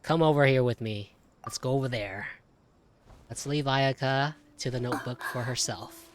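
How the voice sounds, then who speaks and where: A man's voice: medium pitch, normal speed. A young man giving casual, slangy live reaction commentary while streaming a video game.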